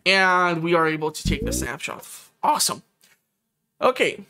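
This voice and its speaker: A woman's voice: low-pitched, sing-song, moderate pace, vocal fry, bright, forceful tone. A woman casually commenting on her farming game, rambling and tripping over words with joking asides. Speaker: gameplay commentator. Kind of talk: casual let's-play commentary. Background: game soundtrack.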